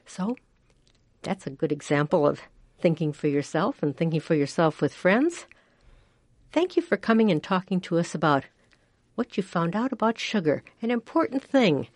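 Older woman from Iowa, community radio host; folksy, chatty, lively swooping delivery, fairly low voice, dry studio mic. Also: moderate pace, no echo. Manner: chatty, folksy, swoops in pitch when exclaiming. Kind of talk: casual radio chat. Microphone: studio microphone.

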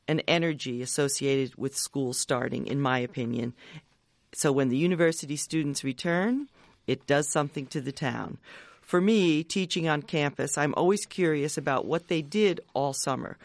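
A reporter who also teaches on campus, narrating a scripted intro in a slow, measured way. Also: mid-range voice, dry recording. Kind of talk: scripted narration and interview questions.

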